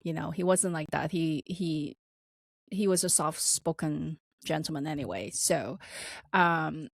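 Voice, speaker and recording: A woman's voice: low voice, measured pace, gravelly, dry recording, studio quality. Storytelling woman with a slight accent. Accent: slight non-native accent. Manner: animated storytelling with laughs and acted-out quotes. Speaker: podcast co-host. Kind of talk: casual storytelling chat.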